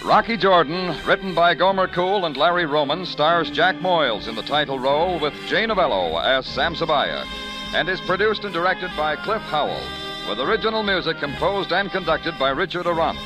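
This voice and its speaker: High-pitched, animated American radio announcer man. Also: normal speed. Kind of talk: 1940s-50s radio announcing and ad copy. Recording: old radio recording with music.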